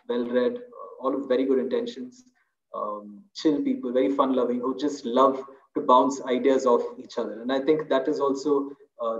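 A man in his thirties, an author speaking on a video call in a small room, thoughtful and loose, with many fillers and restarts. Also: medium pitch. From India. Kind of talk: webinar talk. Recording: roomy, dull video call audio.

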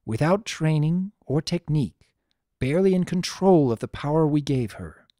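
A narrator voicing a wise, weary female pony princess, the elder sister, speaking in a measured, solemn and gentle way for a story reading. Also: mid-range voice, animated, no echo, clean studio sound, voice pushed up, lightly acted. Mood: troubled, regretful.

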